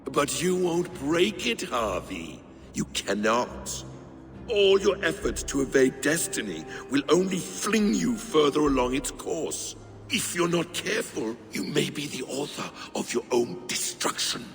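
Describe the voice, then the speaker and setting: An actor playing a sly, defiant Norse trickster god in a video game, with a sardonic, needling, theatrical delivery. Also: male voice, fairly high pitch, sing-song, unhurried. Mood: scornful taunting. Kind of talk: video game cutscene acting. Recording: long reverb, music behind.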